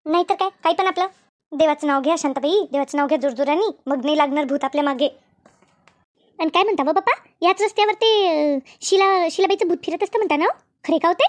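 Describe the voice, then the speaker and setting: Woman playing a frightened cartoon village woman, squeaky high pitch, rapid chatter, rural Maharashtrian accent, excitable. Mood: nervous, urging. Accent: rural Maharashtrian Marathi. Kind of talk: cartoon comedy dialogue.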